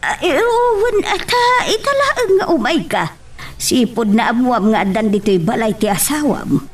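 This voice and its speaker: A woman's voice: a little high, expressive, husky, far from the mic. A woman with an Ilocano accent acting a fretful, motherly older woman in an audio drama, full of exclamations and sighs. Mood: flustered, welcoming. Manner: exclamatory, drawn-out sighing interjections. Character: fretful motherly older woman, fussing over her grown children.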